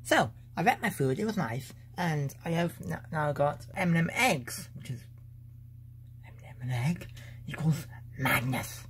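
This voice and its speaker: A man's voice: a little high, animated, unhurried, fairly bright tone, slight background noise. A teenage boy filming himself at home on a phone, playfully announcing each step of making lunch in a mock-serious way. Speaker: vlogger. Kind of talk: playful step-by-step cooking vlog. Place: home kitchen and bedroom, indoors. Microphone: handheld phone camera.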